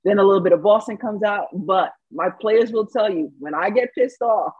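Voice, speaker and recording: A woman's voice: mid-range voice, normal speed, darkish tone, husky, no room sound, lo-fi sound. A woman basketball coach from Saint Lucia who grew up in New York, speaking with a New York accent and a light Caribbean lilt. She talks chattily in an interview, laughing often and restarting her sentences. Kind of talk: casual interview answers.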